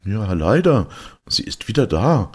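A poor fairy-tale woodcutter, voiced by a male storyteller, woeful and sly. He sighs and pleads in a very husky voice with big swings of pitch. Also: big pitch swings, measured pace, exaggerated voice. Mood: sighing, woeful. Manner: sighing, pleading.

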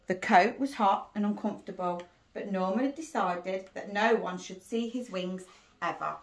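A middle-aged woman, a primary school teacher, reading a picture book aloud to children in an expressive, deliberate storytelling manner, recorded on a phone indoors. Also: medium pitch. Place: small cosy sitting room indoors. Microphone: handheld phone a short distance away. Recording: roomy echo, phone recording.

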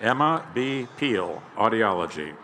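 Male ceremony announcer, bright voice with lively pitch, formal name reading, faint stadium ambience behind. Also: slight background noise. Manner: clear, measured announcing of each name and field. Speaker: commencement name reader. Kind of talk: formal reading of graduate names. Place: large outdoor stadium over a PA system.

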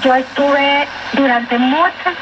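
A woman's voice: high-pitched, a little slow. A woman giving a hesitant, personal religious testimony through a radio speaker. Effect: heard through a radio speaker. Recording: dull, very noisy shortwave radio.

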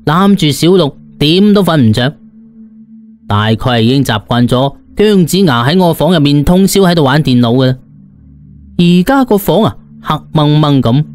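A man's voice: fairly high pitch, a little mellow. A man with a Cantonese accent narrating a comic first-person audiobook in a colloquial, theatrical way.

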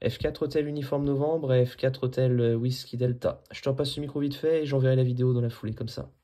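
A man's voice: mid-range voice, fairly even intonation, fast, warm tone. A man chatting casually as an amateur radio operator while he demonstrates his setup. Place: small indoor room at a desk.

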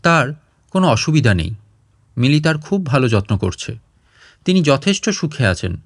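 A man's voice: medium pitch, big pitch swings, moderate pace, dry recording, clean studio sound. A man reading a literary short story aloud as a storyteller, in audiobook style.

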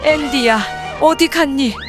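High motherly mammoth put on by a man. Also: slow. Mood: worried, searching. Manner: motherly, exclaiming, soothing. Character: caring mother mammoth, worried and tender. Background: music.

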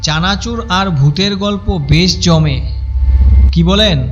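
A man with a high-pitched voice narrates a cartoon ghost story in the first person, wry and chatty. Soft music plays under his voice. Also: slow pace, muffled recording.

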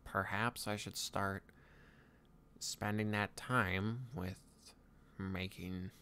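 A man acts out a young Viking dragon trainer, tender and wistful, in an intimate ASMR roleplay. He speaks very slowly and softly in a lowish male voice, with halting phrases. Ambient noise sits behind him. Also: fairly even intonation, heavily acted. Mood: restless, tender. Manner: soft-spoken, halting, intimate. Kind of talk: soft-spoken ASMR boyfriend roleplay. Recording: clear background ambient noise.

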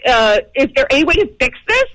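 A woman's voice: sits high, big pitch swings, fast talker, fairly bright tone, no room sound. A woman on a phone call as an upset customer, exasperated and emphatic. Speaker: prank call victim, customer.

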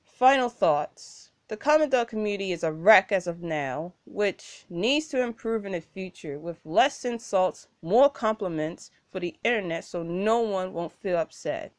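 A woman's voice: medium pitch, animated, measured pace, hardly any pauses, dry recording, studio quality. A young adult woman delivering a scripted commentary rant in a complaining, slightly stilted way. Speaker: YouTuber giving a rant.

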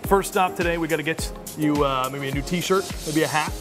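A man's voice: on the high side, fairly quick. A man with a Canadian accent hosting upbeat, jokey promotional banter on camera in a shopping mall. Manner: jokey, punning. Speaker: host, radio personality. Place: inside a shopping mall and its stores. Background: background music.

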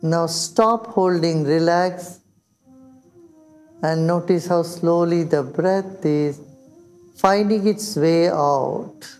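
Mellow, high-voiced older Indian man guiding meditation. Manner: slow, soothing, with long pauses. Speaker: meditation teacher. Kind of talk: guided meditation in English and Hindi. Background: background music.

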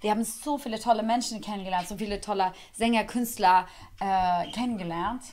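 A woman in her thirties, chatting casually as an interview guest, lively and laughing often. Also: mid-range voice, brisk. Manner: lively, laughs often, frequent agreeing "genau". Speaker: guest singer. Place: small indoor room, seated on a sofa.

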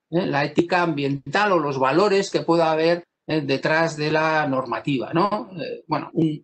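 Hesitant man lecturing on law. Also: a little high. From Spain. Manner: thoughtful, many pauses, fillers and restarts. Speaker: law professor giving a lecture. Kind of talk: academic lecture.

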